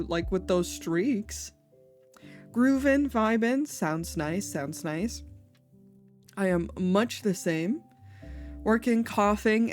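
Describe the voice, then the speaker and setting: A woman's voice: mid-range voice, a little mellow. A woman streamer chatting casually with her viewers, a little congested, giggling often. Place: home.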